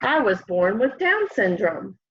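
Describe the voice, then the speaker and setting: A young woman reading a children's picture book aloud in an expressive way, often putting questions to young listeners. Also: mid-range voice, unhurried, slight background noise. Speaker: storybook reader. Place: room at home, indoors. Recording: dull, lo-fi home webcam audio.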